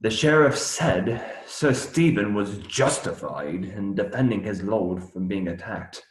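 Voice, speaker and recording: An actor playing a young minstrel, cheery by nature but troubled, earnest and folksy in a radio play. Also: male voice, fairly low pitch, animated, a little slow. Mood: indignant. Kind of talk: theatrical radio play acting. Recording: roomy echo.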